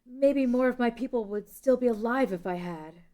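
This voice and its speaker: A woman playing a grave, regretful young princess in an amateur fantasy table read, solemn and measured. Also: fairly high pitch, mellow, lightly acted.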